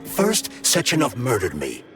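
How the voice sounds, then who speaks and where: An actor playing a male consciousness trapped in a polymer glove, speaking smoothly and gravely. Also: medium pitch, wildly swooping pitch, unhurried. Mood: earnest. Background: music.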